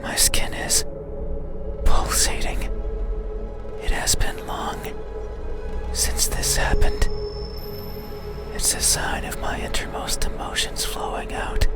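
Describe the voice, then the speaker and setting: An actor voicing an eerie, needy creature in a horror visual novel, speaking in a breathy, voiceless whisper with halting, lingering words. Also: male voice, over loud music, lightly acted. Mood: yearning, unsettling. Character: eerie, needy creature with restless grasping hands.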